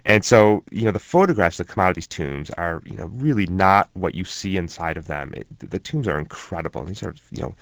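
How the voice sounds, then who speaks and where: Low-voiced man, unhurried interview talk. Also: fairly bright tone, dry recording. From born in Germany to Egyptian parents. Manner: thoughtful, many ums and restarts. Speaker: interview guest, researcher. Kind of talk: relaxed conversational interview.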